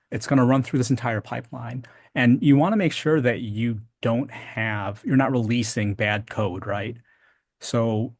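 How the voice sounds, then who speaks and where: A man with a fairly low voice works as a technical instructor. He explains things informally and checks that the listener follows. The sound is very dry, with no room around it. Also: no room sound. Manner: explanatory, checks understanding with "right?". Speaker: programming instructor.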